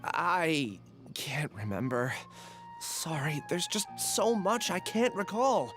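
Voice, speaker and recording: An actor playing a troubled, polite young amnesiac in a video game, hesitant, apologetic and earnest. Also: male voice, fairly high pitch, lively intonation, takes it slowly. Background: game music.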